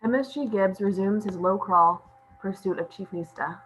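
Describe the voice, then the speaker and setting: A woman's voice: mid-range voice, moderate pace, fairly bright tone, a little hiss or hum, low-quality recording. A woman reading stage directions as scripted narration at a table read.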